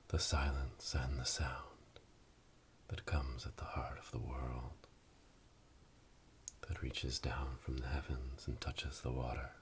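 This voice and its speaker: A man playing a solemn witch leading a dark initiation ritual in an ASMR roleplay, whispering slowly and breathily in a monotone incantation, recorded close on binaural microphones. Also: extremely low pitch. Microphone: binaural microphones, close to the ears. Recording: close binaural recording with clear background noise.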